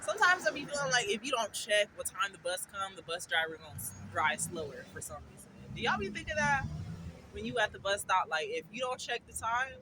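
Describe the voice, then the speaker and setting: A young woman in her twenties talks off the cuff outside at a bus stop, her pitch swooping up and down as she checks in with the listener and keeps bursting into laughter. Heavy street and vehicle noise runs under her voice. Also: sing-song, a little fast. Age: 20s. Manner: chatty, keeps asking "you feel me", breaks into laughter. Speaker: vlogger. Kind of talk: casual chat to camera. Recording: outdoor street traffic noise.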